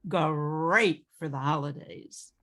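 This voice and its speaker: A woman's voice: deep voice, takes it slowly, voice pushed down. A woman playing a radio announcer who hawks a quack remedy emphatically in an old-time ad parody. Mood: salesy.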